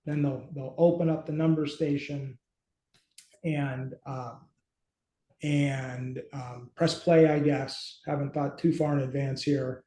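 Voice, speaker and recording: A middle-aged man hosting a casual screen-share walkthrough, speaking haltingly as he thinks aloud. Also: medium pitch, little pitch movement, mellow. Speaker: host and app company team member. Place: small indoor room, video call. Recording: roomy, echoey home room.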